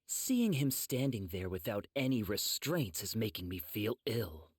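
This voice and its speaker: A man playing a cold, distrustful young swordsman in an anime game, speaking in a sharp-tongued, disdainful drawl. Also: lowish voice, big pitch swings, unhurried, a little hiss or hum. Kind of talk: English anime game voice acting.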